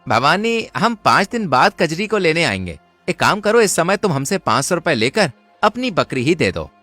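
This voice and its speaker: Man playing a greedy village swindler, animated delivery. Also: fairly high pitch, normal speed, no echo, faint music behind, heavily acted. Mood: scheming. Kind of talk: Hindi cartoon moral-story dialogue.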